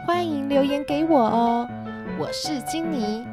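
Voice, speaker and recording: A woman's voice: high voice, a little slow, over loud music. A woman hosting and narrating a story for children. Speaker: storyteller, host. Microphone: close, simple home recording.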